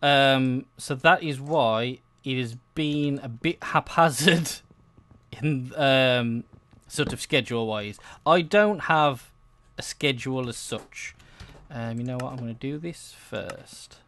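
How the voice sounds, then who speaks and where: A young man hosts a gaming video in a casual, unhurried way. His voice sits at an average pitch, and he meanders through his thoughts with many hesitations, often cutting himself off mid-sentence. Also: a bit bright. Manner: hesitant, meandering, self-interrupting, chuckly. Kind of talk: casual rambling gameplay commentary.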